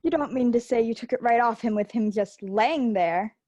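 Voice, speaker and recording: Young actress as sly, grasping old pawnbroker woman, raised pitch, dry studio-like sound, radio drama. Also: normal speed, dark tone, no room sound, lightly acted. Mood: sly, amused. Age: young adult. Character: sly, greedy old pawnbroker woman haggling over loot. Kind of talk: theatrical radio play acting.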